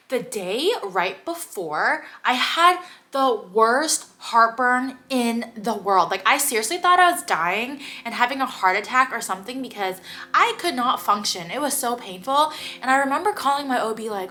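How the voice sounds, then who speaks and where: A woman's voice: fairly high pitch, bright, forceful tone. A young woman, chatting to her camera about her own experience in a casual vlog, full of "like" fillers and her voice a little stuffy from congestion. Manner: chatty, many "like" fillers, quick laughs. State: slightly stuffy nose. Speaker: vlogger.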